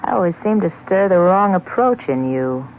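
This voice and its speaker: An actress playing a seductive, scheming woman in a 1940s radio drama, with a breathy, purring way of flirting. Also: fairly low pitch, expressive, normal speed, a little hiss or hum. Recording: tinny 1940s radio broadcast.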